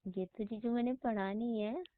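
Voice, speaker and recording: A woman's voice: on the high side, fairly even intonation, normal speed, close to an everyday voice. A woman playing a pleading, coaxing young sister-in-law, a student, in a phone conversation with a wheedling, complaining manner. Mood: sheepish. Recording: dry tinny phone-call audio.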